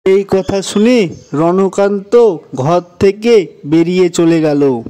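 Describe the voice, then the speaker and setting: A man's voice: sits high, slow pace, dark tone. A man narrating a scripted children's story as the narrator of a cartoon.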